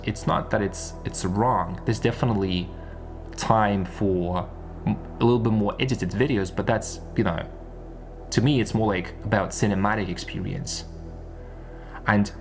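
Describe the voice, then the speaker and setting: Low-voiced man musing over music. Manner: rambling, repeats phrases, rhetorical questions. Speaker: online business content creator. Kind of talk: unscripted one-take monologue.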